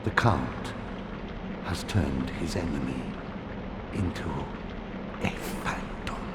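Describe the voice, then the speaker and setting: An actor playing a solemn, haunted villager in a video game cutscene, speaking gravely and formally. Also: male voice, rumbling low, animated, exaggerated voice. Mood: bitter, sombre. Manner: grave, deliberate, formal phrasing. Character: solemn, haunted male villager living in hiding. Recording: echoey hall, long reverb, loud noise.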